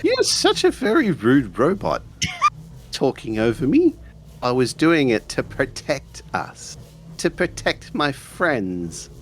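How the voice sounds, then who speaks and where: A man's voice: mid-range voice, wildly swooping pitch, slow. A man playing a defiant, protective boy in a tabletop roleplay, speaking with stubborn indignation. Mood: indignant. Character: defiant antisocial boy protective of his friends. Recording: faint background noise.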